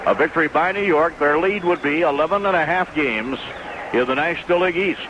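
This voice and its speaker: A man's voice: on the high side, fairly quick. A man announcing baseball play-by-play and game statistics on the radio. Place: stadium broadcast booth. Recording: dull noisy vintage AM radio.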